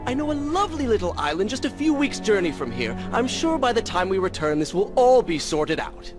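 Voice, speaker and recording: A man plays a proud young prince from a fantasy video game, brave and sardonic. His voice is fairly high and swings widely in pitch, with formal, theatrical phrasing over music. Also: lively intonation, normal speed, lightly acted. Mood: sarcastic. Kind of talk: dramatic video game acting.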